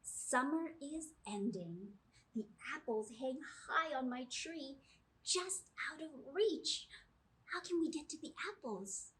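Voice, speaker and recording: A woman's voice: high voice, lively intonation, slow pace, a bit bright, rough recording. A woman in her thirties hosting a children's storytime, reading aloud in simple phrases and asking the young listeners guiding questions. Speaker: children's librarian, storytime host. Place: small indoor room, seated before camera.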